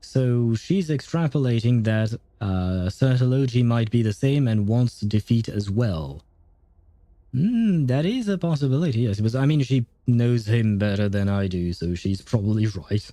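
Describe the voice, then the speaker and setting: Very mellow-voiced man livestreaming games. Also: mid-range voice, animated, moderate pace, dry recording. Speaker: game livestreamer. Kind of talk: casual live commentary, chatting with viewers.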